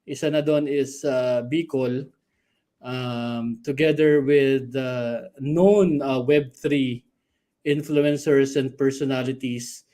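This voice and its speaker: A man's voice: medium pitch, steady tone, slow pace, mellow. A man co-hosting a casual show, talking loosely in mixed Tagalog and English with many fillers. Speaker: co-host. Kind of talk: casual livestream talk.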